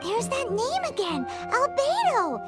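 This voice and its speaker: Tiny fairy sidekick for anime game: very high, squeaky, swooping delivery over loud music. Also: female voice, huge pitch swings, unhurried, exaggerated voice. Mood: curious. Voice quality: squeaky, childlike. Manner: speaks of herself in third person, exclamatory. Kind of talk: anime-style video game voice acting.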